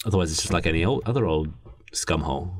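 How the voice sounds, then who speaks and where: Deep-voiced, animated man riffing. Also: fast talker, slight background noise. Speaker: podcast co-host. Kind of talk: casual improvised comedy brainstorming.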